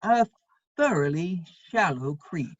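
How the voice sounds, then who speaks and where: A woman playing a worldly, witty gentleman counselling a friend in a dramatic play reading, with wry, measured phrasing. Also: lowish voice, animated, no room sound, lo-fi sound. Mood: disapproving, probing.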